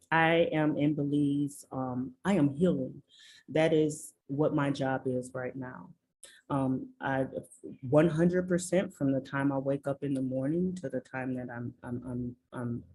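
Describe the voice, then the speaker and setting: Michigan woman on a video call, husky mellow voice, talks slow and earnest w lots of ums. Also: extremely low pitch, poor audio. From Michigan, USA. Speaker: interview guest. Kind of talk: reflective personal storytelling. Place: rural village in southern Belize.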